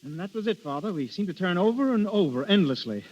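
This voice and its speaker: A man's voice: sits high, big pitch swings, fast talker. An actor playing an ardent, earnest young nobleman in a theatrical vintage radio drama. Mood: subdued. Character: ardent young nobleman, a duke's son and anxious suitor. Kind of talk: 1930s-40s radio acting. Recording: muffled old radio broadcast recording.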